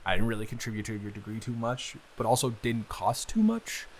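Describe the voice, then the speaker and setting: A man playing an awkward, earnest young man in an improvised intimate roleplay, hesitant, rambling and quick to apologize. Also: lowish voice, very animated, slight background noise. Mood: awkward, chatty. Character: awkward, earnest, smitten young man, best friend's brother.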